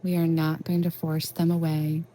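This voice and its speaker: A woman leading a guided meditation in a gentle, reassuring, unhurried way with long pauses. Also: low-pitched, completely flat delivery. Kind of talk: soothing guided meditation narration.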